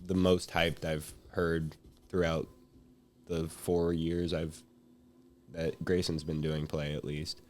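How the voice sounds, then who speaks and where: A man's voice: very deep voice, little pitch movement, slow. A young man in his late teens talking casually as a guest in a group chat. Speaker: high school senior guest.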